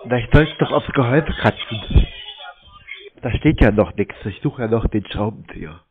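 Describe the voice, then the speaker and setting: A man on a prank call, answering in a deadpan way and acting slow-witted. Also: lowish voice. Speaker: scam baiter playing a naive victim. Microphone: phone call. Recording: phone-like narrow sound with music. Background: music.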